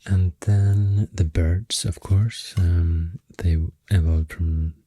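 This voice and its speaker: A man with a Swedish accent softly rambling through an ASMR explanation, breathy and often near a whisper, soothing and hesitant in manner. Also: sits low, fairly even intonation, drawn-out words, no echo. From Sweden. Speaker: ASMR host.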